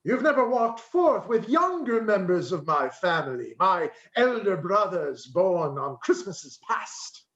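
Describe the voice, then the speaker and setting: High-pitched, booming jolly giant spirit. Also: male voice, big pitch swings, unhurried, few pauses, fairly bright tone, lo-fi sound, full character voice. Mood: grand, genial. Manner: declamatory, commanding. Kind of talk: radio play acting. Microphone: video call. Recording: echoey, noisy video-call audio.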